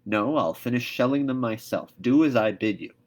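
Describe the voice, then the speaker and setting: A man's voice: medium pitch, lively intonation, moderate pace, pitched above the performer's own voice, close to an everyday voice. A man voicing a stern, no-nonsense woman guardian in a storybook, with a firm, scolding manner. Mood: sharp, accusing.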